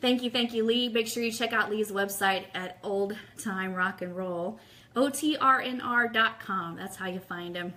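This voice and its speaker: A woman's voice: medium pitch, normal speed, pushed and bright. A woman hosting a live-streamed fan radio show, chatting informally and upbeat as she gives announcements and greets viewers.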